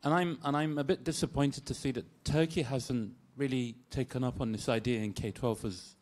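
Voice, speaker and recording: A man's voice: medium pitch, steady tone, moderate pace, a little mellow. A man in a conference audience asking the panel an earnest, winding question. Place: conference hall.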